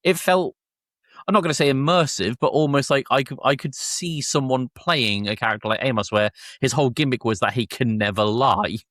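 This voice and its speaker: A man's voice: mid-range voice, a little fast, fairly bright tone, no room sound, studio quality. A man hosting a podcast, recapping and discussing in a casual, conversational way. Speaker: podcast host.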